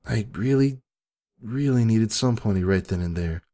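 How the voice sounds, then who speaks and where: A man's voice: lowish voice, moderate pace, dark tone, close to an everyday voice. A man voicing a young female pegasus pony, brash and grief-stricken, in an emotional dramatic reading. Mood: pleading. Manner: emotional, pleading outbursts. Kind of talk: dramatic fan fiction reading. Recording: background music.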